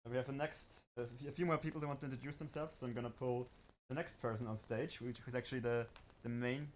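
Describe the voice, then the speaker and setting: A man's voice: mid-range voice, steady tone, quick pace, a little hiss or hum. Hesitant man hosting a livestream. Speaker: livestream host.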